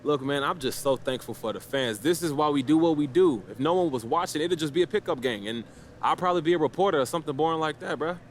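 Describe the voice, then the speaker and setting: An actor playing a confident young basketball star giving slangy, casual press conference answers in a video game. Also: male voice, a little high, quick pace, a little hiss or hum, natural voice. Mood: cocky, playful. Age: young adult. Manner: cocky, slangy, laid-back.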